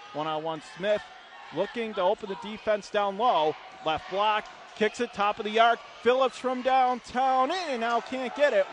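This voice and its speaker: A man doing live play-by-play basketball commentary on the radio in animated, clipped bursts. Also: high-pitched, a little hiss or hum. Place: indoor basketball arena.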